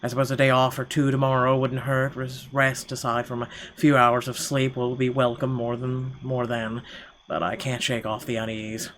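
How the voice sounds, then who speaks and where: A man's voice: medium pitch, monotone, normal speed, fairly bright tone, a little hiss or hum. A man reading visual novel narration aloud in a theatrical way, now and then stumbling over words. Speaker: gaming video host. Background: game music.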